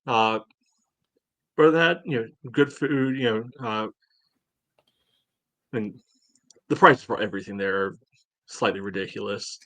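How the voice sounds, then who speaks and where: A man's voice: lowish voice, a little slow, pauses often, bright, forceful tone, dry recording, poor audio. A man in his forties chatting casually as a podcast co-host, in a hesitant, rambling way. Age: 40s. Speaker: soccer fan podcast co-host. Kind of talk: casual chat.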